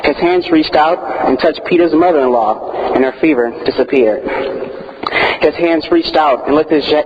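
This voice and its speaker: Reverent, tender biblical disciple, played by a man, solemn phrasing, church drama, dull recording with clear noise. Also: on the high side, moderate pace, lightly acted. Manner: solemn, short repetitive phrases. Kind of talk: church stage monologue.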